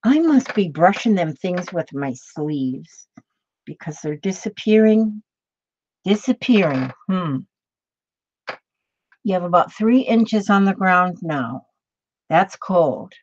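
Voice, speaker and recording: A woman's voice: fairly low pitch, lively intonation, slow pace, long pauses, no room sound. A woman in her late sixties, from Minnesota, chatting casually with viewers while she crafts, rambling along with fillers and restarts. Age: 60s. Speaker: crafting video host. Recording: dull, slightly lo-fi sound.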